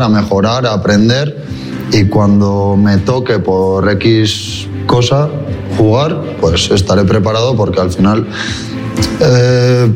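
A man's voice: fairly low pitch, darkish tone. A young man who plays football, answering interview questions earnestly and hesitantly, often restarting his phrases. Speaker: football goalkeeper.

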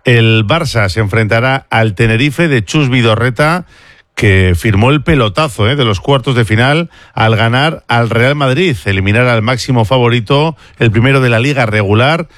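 A male sports radio host runs through results and listener messages in a brisk, conversational way. His mid-range voice sits close on a dry studio mic. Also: medium pitch, a bit bright, no room sound. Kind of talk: radio sports news presenting.

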